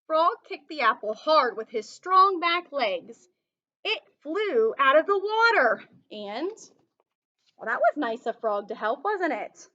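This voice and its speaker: High, animated woman reading to children. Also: slow pace, highs cut off. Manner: teacherly, addresses young listeners with questions. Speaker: storyteller reading a picture book aloud to children. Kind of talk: expressive children's read-aloud with asides and questions.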